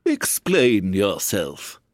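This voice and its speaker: Storybook caterpillar: extremely slow, sleepy drawl, swooping pitch, haughty and stern. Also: male voice, huge pitch swings, dry recording, studio quality, exaggerated voice. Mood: languid, stern. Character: languid, stern, contemptuous male caterpillar.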